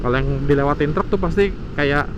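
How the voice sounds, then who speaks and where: A man's voice: a little high, a little fast. A man chatting casually and informally to viewers as he rides, in a rambling way, through a helmet intercom mic. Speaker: motovlogger. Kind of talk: casual chat while riding a motorbike. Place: outdoors on a motorbike in city traffic. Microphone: helmet intercom mic wired to action camera. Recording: loud road and engine noise, helmet intercom.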